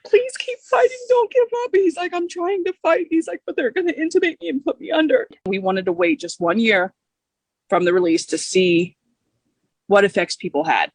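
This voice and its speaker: A woman with an American accent and a husky voice, grieving and emotional as she gives a personal account in a news interview. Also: high-pitched, moderate pace, no echo, rough recording. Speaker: bereaved partner interviewed for local news.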